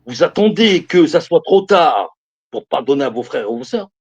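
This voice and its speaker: Husky man in his fifties preaching. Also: on the high side, big pitch swings, normal speed, highs cut off. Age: 50s. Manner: emphatic, repeats phrases, calls listeners brothers and sisters. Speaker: live streamer giving religious advice. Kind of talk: preaching to viewers on a live stream.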